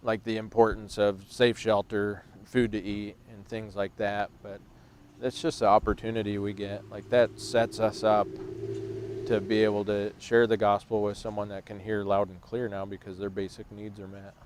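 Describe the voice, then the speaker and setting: A man in his thirties who works at a rescue mission, speaking reflectively and in a measured way during a casual talk outdoors. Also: fairly low pitch, little pitch movement, background noise. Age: 30s. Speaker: rescue mission staff member. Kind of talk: casual conversation on a livestream. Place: outdoors on a bench.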